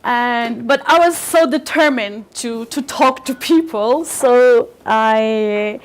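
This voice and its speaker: A woman's voice: fairly high pitch, slow pace. A woman in her forties, a native Turkish speaker from Bulgaria, telling a personal story at an event with hesitant fillers and restarts. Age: 40s. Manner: hesitant, frequent fillers and restarts, laughs often.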